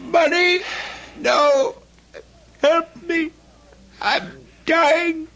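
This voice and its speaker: A very high-pitched, creaky old male shopkeeper from an old-time radio play. He is fussy and querulous, halting over his words in a slow, swooping delivery. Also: pushed and bright, dull audio, full character voice. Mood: shocked, dying. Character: fussy, grumbling old male antique dealer.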